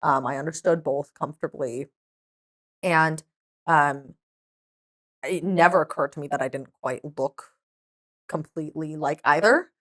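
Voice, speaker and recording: A woman whose first language is Hebrew, a podcast guest telling stories in a lively, chatty way and laughing often. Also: sits low, measured pace, long pauses. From Israel. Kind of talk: casual chat.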